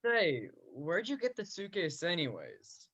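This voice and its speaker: A man's voice: fairly high pitch, huge pitch swings, a little slow, a bit bright, slightly rough, full character voice. A man playing a carefree teenage younger brother in a radio play, over a dull video-call microphone. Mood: breezy. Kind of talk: 1940s-style radio play acting.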